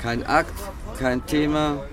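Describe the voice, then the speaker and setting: A man's voice: a little high, fairly even intonation, slow, crowd noise. A man playing a breezy, slangy street drinker in a radio play. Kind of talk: German radio play acting.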